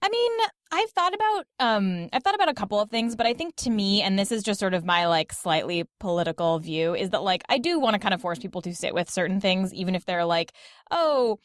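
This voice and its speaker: A woman talks quickly in a loose, conversational podcast style, often dropping in "like" as she goes. Her voice is recorded dry and close, with very little room around it. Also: mid-range voice, animated, dry recording. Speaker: podcast host as interview guest. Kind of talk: casual podcast panel chat.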